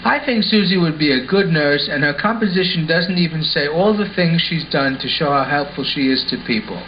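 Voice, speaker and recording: A man's voice: on the high side, a little fast, close to an everyday voice. A man playing an earnest, sensible schoolboy in a scripted puppet show, explaining things instructively. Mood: sincere. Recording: dull lo-fi sound with faint noise.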